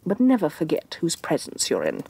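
A woman playing a haughty, domineering evil sorceress queen, soft-spoken and imperious in a fantasy ASMR roleplay. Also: low voice, huge pitch swings, brisk, vocal fry, husky, full character voice. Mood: haughty, condescending. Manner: imperious, condescending, theatrically disdainful.

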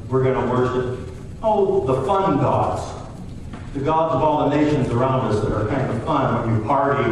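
Pastor preaching in an echoey church. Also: male voice, mid-range voice. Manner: storytelling, conversational preaching with quoted voices. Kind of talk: sermon. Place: church sanctuary, large room. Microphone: far camera at back of church. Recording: distant roomy church recording with hiss.

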